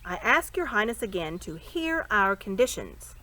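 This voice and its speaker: A woman's voice: mid-range voice, sing-song, bright, forceful tone. A woman voicing a dignified, hearty king in a storybook, speaking in a formal, courteous and firm way. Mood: stern. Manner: stately, formal, measured proclamation.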